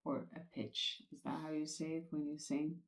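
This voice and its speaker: A woman whose first language is Swedish, hosting a spiritual podcast and talking in a gentle, reassuring way that repeats its points. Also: deep voice, little pitch movement, moderate pace, reverberant. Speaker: podcast host.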